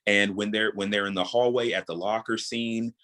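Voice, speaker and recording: A man's voice: lowish voice, fairly even intonation, moderate pace, bright, forceful tone. A man in his thirties speaking as a guest in a casual interview, explaining his creative craft and repeating points for emphasis. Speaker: audio drama creator, podcast guest.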